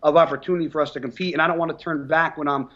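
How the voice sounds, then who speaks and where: A man in his thirties from New Jersey talks casually in an interview, blunt and full of swearing. His voice sits fairly high, and the audio sounds a little dull and muffled. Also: very fast. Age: 30s. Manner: blunt, swears often, animated storytelling. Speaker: professional bodybuilder guest.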